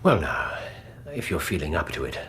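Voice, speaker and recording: An actor in a radio drama playing a gentlemanly English investigator, articulate and commanding. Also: male voice, rumbling low, very animated, normal speed, heavily acted. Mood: grave, narrating. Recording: noisy ambience behind radio drama.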